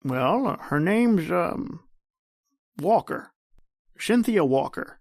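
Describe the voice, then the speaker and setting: Man playing a kindly older doctor, higher-pitched. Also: expressive, slow, gravelly, darkish tone, no echo, studio quality, heavily acted. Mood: casual, hesitant. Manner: folksy, hesitant, encouraging. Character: older kindly male doctor, fatherly and appreciative. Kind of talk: old-time radio drama acting.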